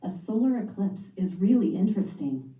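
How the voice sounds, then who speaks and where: Eager curious storybook schoolgirl, read by a woman, mellow lowish voice, roomy distant sound. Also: expressive, normal speed, natural voice.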